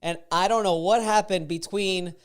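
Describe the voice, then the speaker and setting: A man in his thirties hosting a casual podcast interview, energetic and chatty, laughing easily as he talks. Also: high-pitched, normal speed, clear, resonant tone. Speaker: podcast host.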